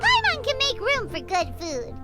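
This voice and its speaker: Super high squeaky little flying fairy, excitable game sidekick, bouncy pitch, music behind. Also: female voice, normal speed, exaggerated voice. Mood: delighted. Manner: refers to herself in third person, exclamatory. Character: small excitable flying fairy companion, childlike girl. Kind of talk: animated game cutscene acting.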